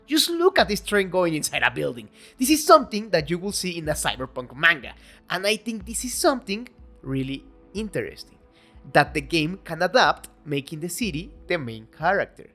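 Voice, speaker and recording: A woman with a non-native English accent, talking in an excitable, casual video commentary, swearing now and then and joking at her own expense. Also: lowish voice, normal speed. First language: not English. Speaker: video essay host. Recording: faint background music.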